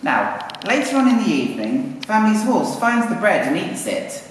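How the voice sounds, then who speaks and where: Lively man narrating in echoey hall. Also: high-pitched, normal speed. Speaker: puppet show narrator. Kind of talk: live storytelling to an audience. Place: arts centre performance space. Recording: very echoey hall, distant mic, faint noise.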